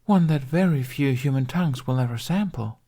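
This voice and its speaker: Man putting on a high, sly mermaid woman. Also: fairly quick, mellow, natural voice. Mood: sly, teasing. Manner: purring, teasing, coy.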